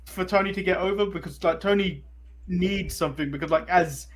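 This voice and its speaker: A man's voice: high-pitched, a little fast. A man from England, co-hosting a casual sports podcast and rambling a little as he repeats phrases. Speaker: podcast co-host.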